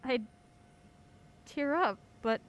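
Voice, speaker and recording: A woman playing an anxious, urgent heroine in a mystery audio drama, excitable and insistent. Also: sits high, drawn-out words, exaggerated voice. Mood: focused, uneasy. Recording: clearly noisy audio drama track.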